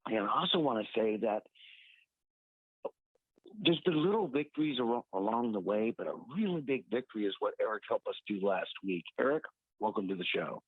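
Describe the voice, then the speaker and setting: A man's voice: fairly low pitch, lively intonation, normal speed, breathy edge, no echo. A man hosting talk radio from a crowded hangar event, upbeat and energized. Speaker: radio host. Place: large airplane hangar event. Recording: dry tinny phone-line audio.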